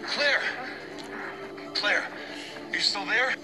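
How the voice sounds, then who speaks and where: A man's voice: high voice, lively intonation, takes it slowly, music in the background. An actor playing an earnest, determined young male action hero in a dramatic survival horror video game. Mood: urgent.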